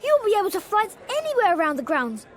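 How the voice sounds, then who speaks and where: A performer playing a cheeky, excitable young schoolboy wizard in a video game, eager and chummy in manner. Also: extremely high pitch, quick pace, a faint crowd, exaggerated voice. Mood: excited. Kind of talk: video game character acting.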